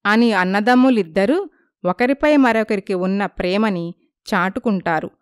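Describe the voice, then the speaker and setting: A woman's voice: mid-range voice, normal speed, smooth, no echo, clean studio sound. A woman narrating a scripted moral story as its storyteller.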